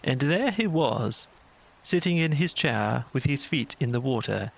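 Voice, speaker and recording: Creaky-edged man narrating a bedtime story. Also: mid-range voice, animated, unhurried, poor audio.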